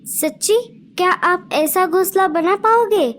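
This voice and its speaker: A woman's voice: very high voice, measured pace, fairly bright tone, a little hiss or hum. A woman voicing a nagging young female sparrow wife in a children's cartoon, with a whiny, complaining manner. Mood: relieved. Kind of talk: cartoon voice acting.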